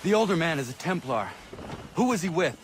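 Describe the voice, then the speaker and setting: A man's voice: a little high, sing-song, brisk, background noise. An actor playing a stern, driven young warrior in a video game, blunt and terse in his demands. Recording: game music behind.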